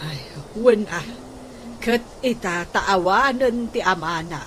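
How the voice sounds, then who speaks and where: A woman playing an excitable older household maid in a comedic radio drama, with a melodramatic, exclaiming way of talking. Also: mid-range voice, takes it slowly. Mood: gossipy, disapproving. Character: excitable older household maid fretting over unpaid wages. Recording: noisy radio drama recording.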